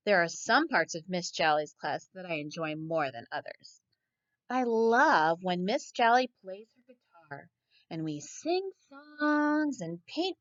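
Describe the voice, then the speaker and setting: A woman's voice: on the high side, dry recording, lo-fi sound. A woman reading a children's picture book aloud like a teacher, expressively, often turning to ask her young listeners questions. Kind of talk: children's story read-aloud with asides to listeners.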